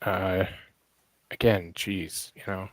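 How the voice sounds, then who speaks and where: A man with a fairly low, hoarse voice, his throat worn out for the day. He chats casually and rambles, often pausing to search for a name. The sound is dry and close, with no room in it. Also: flat delivery, dry recording. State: hoarse, lost voice. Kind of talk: casual online chat.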